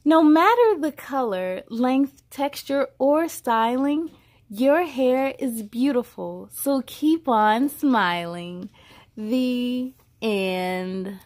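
Female story-time host, higher-pitched, unhurried delivery, encouraging read-aloud for kids. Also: animated, a little mellow. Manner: encouraging, affirming, addresses young listeners directly. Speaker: host reading aloud for children. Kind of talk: children's picture book read-aloud with casual comments.

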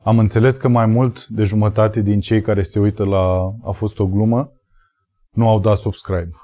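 A man in his thirties closing the show with a halting appeal to subscribe, his voice going. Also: lowish voice, level tone, warm tone. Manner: halting, many fillers. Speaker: show host. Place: studio in front of red backdrop.

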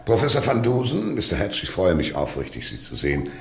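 Very deep, pompous Russian police minister. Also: male voice, wildly swooping pitch, fairly quick, vocal fry, echoey room, a little hiss or hum, heavily acted. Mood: silky, courtly. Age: older. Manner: pompous, over-polite, formal. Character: suave, silkily menacing older male Russian minister of police. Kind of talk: radio drama acting.

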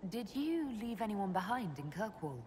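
An actress playing a composed, supportive mage who leads an army in a fantasy video game, speaking calmly and directly. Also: female voice, medium pitch, normal speed, close to an everyday voice. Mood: curious. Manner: calm, direct. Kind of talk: cinematic fantasy game dialogue acting. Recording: reverberant, faint ambient noise.

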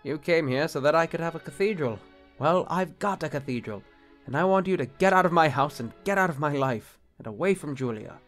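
An actor playing a proud, jealous middle-aged bishop in a radio-style drama, curt and indignant. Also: male voice, on the high side, animated, brisk, fairly bright tone, faint music behind. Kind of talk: radio-play style acting.

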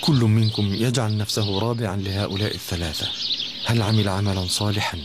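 A man's voice: lowish voice, fairly even intonation, a little fast, heavy background noise, natural voice. A man voicing a kindly older cartoon teacher. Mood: instructive. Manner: gentle, instructive. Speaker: storyteller uncle. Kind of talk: moral lesson to children.

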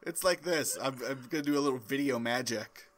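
A man's voice: mid-range voice, a little fast, crowd noise. A man co-hosting a podcast with casual, joking banter. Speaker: podcast co-host.

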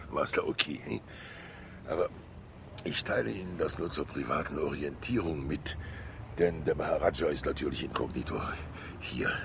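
An actor playing a fat, wily, talkative older state councillor in a 1960s radio crime drama, jovial and theatrical, rambling with asides and pauses. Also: male voice, rumbling low, vocal fry, a bit bright, full character voice. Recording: hissy 1960s radio recording.

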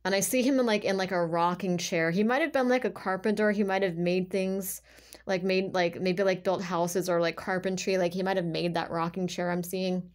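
Woman doing a casual tarot channeling, kinda low voice, stop-start and repeats herself for emphasis, says like a lot. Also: fairly even intonation. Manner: halting, repetitive, emphatic, filler-heavy with "like". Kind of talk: informal intuitive tarot reading, monologue.

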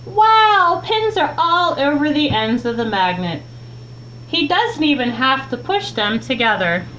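A young adult woman reads a children's book aloud with expressive, deliberate phrasing, recorded in a small room at home on a webcam mic. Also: high-pitched. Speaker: teacher reading a children's book. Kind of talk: read-aloud storytime narration. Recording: clearly noisy, humming home recording.

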